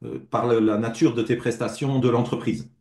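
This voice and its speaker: A man's voice: medium pitch, flat delivery, normal speed, creaky, darkish tone. Hesitant male interviewer in echoey room. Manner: hesitant, many fillers.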